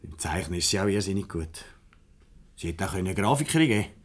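An actor playing a rueful, flustered husband in a Swiss German radio comedy from the 1970s, grumbling, defensive and hesitant. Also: male voice, low-pitched, lively intonation, fast. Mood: regretful. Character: rueful, flustered husband Peter in marital crisis.

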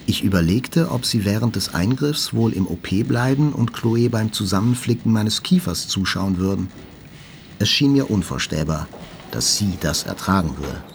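A man's voice: lowish voice, a little mellow, a little hiss or hum, natural voice. An actor playing a wounded male journalist who recalls his hospital ordeal in reflective, first-person literary narration.

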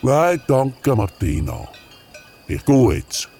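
A man's voice: medium pitch, very animated, slow pace, warm tone, faint music behind. A man playing a huge, polite mountain giant in a radio play. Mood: slow, polite.

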